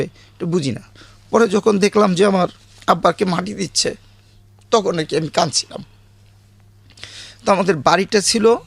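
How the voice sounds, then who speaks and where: A man with a rural Bangladeshi accent, a guest recounting childhood memories in a halting way, often correcting himself. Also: high voice, vocal fry, fairly bright tone, no room sound.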